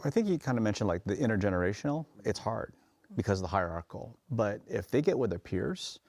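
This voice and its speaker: A man's voice: lowish voice, expressive, fast, creaky, darkish tone, no echo. A man on a panel telling anecdotes with stumbling restarts. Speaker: panel speaker, tour guide. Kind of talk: panel discussion.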